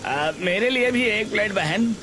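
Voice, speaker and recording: Eager high-voiced hungry customer done by a man. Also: lively intonation, unhurried, pushed and bright, slight background noise, heavily acted. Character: eager hungry male passing customers at a food stall. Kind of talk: scripted cartoon dialogue.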